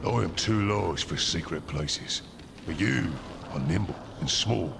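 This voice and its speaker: An ancient giant of stone, solemn and wistful. The voice is extremely deep and slow, full of gravel, with loud rumbling ambience behind it, as in a fantasy game. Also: male voice, background noise. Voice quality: gravelly, booming. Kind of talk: video game cutscene acting.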